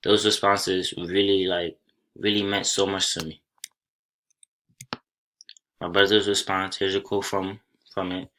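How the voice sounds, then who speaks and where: A young man from Senegal whose first language is Soninke, giving a college class presentation over slides in a plain, unhurried way with small restarts. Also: low-pitched, level tone, sharp tone, slight background noise, low-quality recording. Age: young adult. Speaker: college student.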